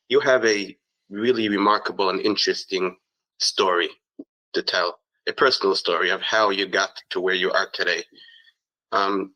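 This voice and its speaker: A male podcast host with a fairly low voice, polite and measured, reading his opening and posing questions. The sound is close and dry, with no room around it. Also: sharp tone, no echo, low-quality recording. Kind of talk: scripted intro then interview questions.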